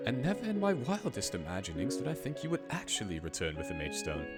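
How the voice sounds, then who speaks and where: A man's voice: fairly low pitch, huge pitch swings, quick pace, over loud music. A man playing a pompous, self-congratulating magic school headmaster, formal and melodramatic, in an anime fandub. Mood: stern, formal.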